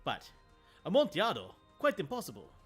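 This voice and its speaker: A man's voice: high-pitched, huge pitch swings, a little fast, fairly bright tone, slightly rough, faint music behind, pitched above the performer's own voice, full character voice. A man doing a put-on Italian accent to voice a boastful, tipsy wine lover who scoffs at others. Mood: scoffing.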